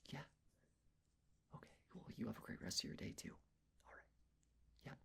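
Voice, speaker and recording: A man doing an ASMR personal-attention roleplay, whispering breathily as he gently gives reassuring, step-by-step instructions. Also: moderate pace.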